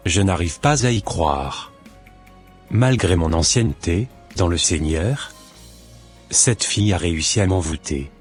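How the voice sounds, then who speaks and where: Guy doing a smitten husband in a church cartoon, real deep and husky, bit of music under. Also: lively intonation, measured pace, exaggerated voice. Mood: disbelieving. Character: besotted husband under a spell.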